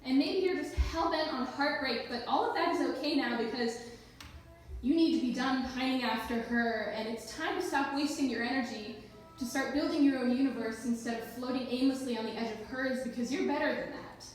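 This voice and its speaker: A woman's voice: sits high, brisk. A woman delivering spoken-word poetry in a live slam performance. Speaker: slam poet. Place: echoey indoor event space, live performance. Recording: very echoey room, distant mic.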